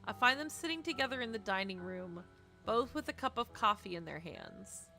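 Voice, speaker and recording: A young woman streamer reading a visual novel aloud expressively, with dramatic, casual, animated asides. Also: mid-range voice, normal speed, faint music behind.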